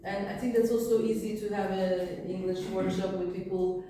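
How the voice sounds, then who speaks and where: A woman's voice: medium pitch, normal speed, far from the mic, a little hiss or hum. Woman talking in an echoey room. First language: Persian. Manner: relaxed, explains with many fillers. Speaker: workshop speaker. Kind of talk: informal workshop talk. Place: workshop room.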